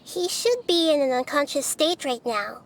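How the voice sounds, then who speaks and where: A woman voicing a small, wise, gentle goddess who speaks in a measured, formal way in an anime game. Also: high-pitched, animated. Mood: calm, businesslike. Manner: measured, soft-spoken, formal. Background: background music.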